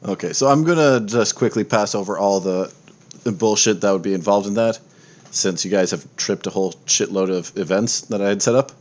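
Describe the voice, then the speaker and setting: A man's voice: on the low side, brisk. A man narrating a tabletop game casually as game master, with informal, sweary phrasing.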